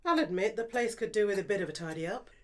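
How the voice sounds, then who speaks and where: A woman's voice: medium pitch, animated, quick pace, a little mellow, natural voice. A woman playing a cheerful, practical, eccentric tinkerer in an audio drama, chatty and affectionate in manner. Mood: soothing, practical. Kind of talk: scripted audio drama acting.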